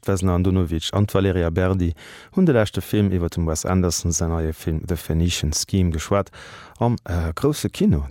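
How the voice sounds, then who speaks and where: Very deep Luxembourgish male radio announcer. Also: darkish tone, no echo, clean studio sound. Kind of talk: scripted programme back-announcement.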